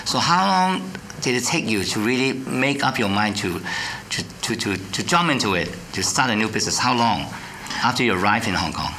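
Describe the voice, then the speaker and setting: Bright-voiced male interview host. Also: mid-range voice, animated, normal speed. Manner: prompting, frequent short acknowledgments. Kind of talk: studio interview. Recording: studio with clear background noise.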